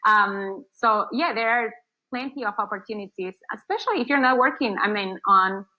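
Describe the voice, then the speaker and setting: A woman from Argentina talks through her answers in a loose, rambling way, full of fillers and restarts. English is not her first language. Her voice sits at a middle pitch, and it comes over a muffled, lo-fi video call. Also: normal speed. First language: Spanish. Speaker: marketing agency founder. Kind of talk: casual interview answers.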